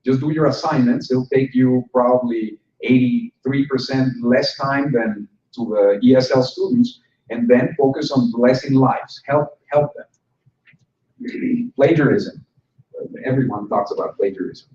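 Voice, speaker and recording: A man's voice: mid-range voice, measured pace, darkish tone. Man presenting in a roomy hall. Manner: conversational, joking with the audience. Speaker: conference presenter. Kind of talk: conference talk. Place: conference room. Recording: roomy hall sound from a distant mic, dull and slightly noisy.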